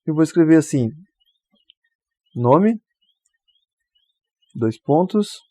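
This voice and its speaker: A man's voice: fairly high pitch, no room sound. A man walks through a coding tutorial step by step. He keeps checking in with the viewer as he goes. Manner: relaxed, explains step by step, often checks with "tá?". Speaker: programming tutor. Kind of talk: screen-recorded coding tutorial.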